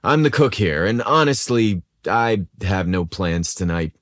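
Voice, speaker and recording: Young male cook for an audio story, read by a male narrator: confident, friendly, firm, animated. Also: low-pitched, unhurried, no room sound, clean studio sound. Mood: warm, inviting. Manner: firm but friendly, casual. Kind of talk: audio story character dialogue.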